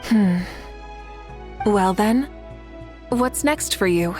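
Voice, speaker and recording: A woman's voice: medium pitch, expressive, extremely slow, a little mellow. An actress playing a confident, good-humored female warrior leader in a video game, composed and assured. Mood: amused, curious. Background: background music.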